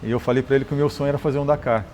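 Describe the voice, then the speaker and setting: A man's voice: mid-range voice, rapid-fire. A man from Brazil speaks in a mid-range voice, recounting his engineering and rally years conversationally. He often restarts words and tags sentences with "né?". Faint background noise sits under the recording. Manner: relaxed, repeats and restarts words, says "né?" often. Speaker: guest, motorcycle engineer and rally champion. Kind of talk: conversational interview storytelling.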